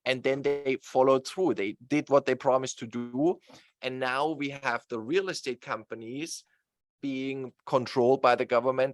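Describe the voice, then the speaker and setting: A man's voice: medium pitch, no room sound. German-accented man, dry explainer. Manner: talkative, explanatory, many fillers. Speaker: guest real estate expert. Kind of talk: explaining slides in an interview.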